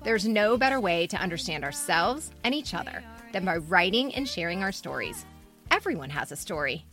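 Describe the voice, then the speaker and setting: A woman's voice: fairly low pitch, big pitch swings, normal speed, fairly bright tone, soft background music. A woman co-hosting a podcast, moving between casual chat and scripted announcements to listeners. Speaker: podcast co-host and editor. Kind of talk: casual co-host chat and scripted show outro.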